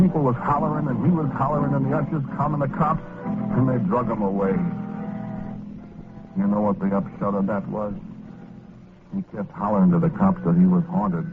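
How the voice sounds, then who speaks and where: A man's voice: low-pitched, natural voice. An actor with an American accent playing a well-meaning, exasperated working-class ghost who tells his story in slangy 1940s radio drama style. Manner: colloquial, folksy slangy storytelling. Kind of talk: 1940s radio horror-comedy acting, first-person narration. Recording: scratchy, noisy, narrow-band old radio recording.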